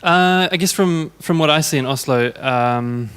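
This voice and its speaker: A man's voice: a little high, a little slow, a bit bright, clear, resonant tone. A man with a New Zealand accent talking casually as a conference panelist. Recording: reverberant conference hall.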